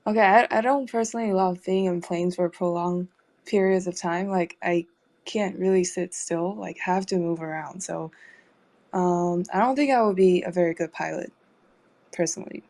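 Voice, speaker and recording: Relaxed young woman from Taiwan. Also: fairly low pitch, moderate pace. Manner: relaxed, laughs easily, hesitant fillers. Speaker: artist guest. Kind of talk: casual interview chat.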